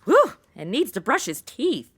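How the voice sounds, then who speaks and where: A woman's voice: a little high, huge pitch swings, unhurried, slightly rough, full character voice. A woman playing a flippant, self-centered young American in a comedy audio drama, with slangy, sarcastic, exaggerated delivery. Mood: flippant, grossed out.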